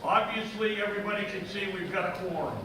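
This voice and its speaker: A man moderating a town meeting, reading procedures in a measured, formal way in a large auditorium. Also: sits high. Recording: echoey hall, distant mic, background noise.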